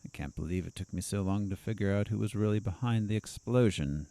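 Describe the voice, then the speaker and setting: An actor playing a sardonic asylum doctor in an audio drama, probing and needling in a confrontational way. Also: male voice, lowish voice, brisk, warm tone, dry recording, natural voice.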